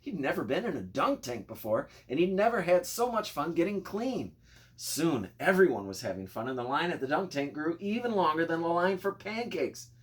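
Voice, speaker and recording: A man narrating a children's storybook in an expressive, playful way, speaking directly to young listeners. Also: a little high, moderate pace. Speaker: storybook reader.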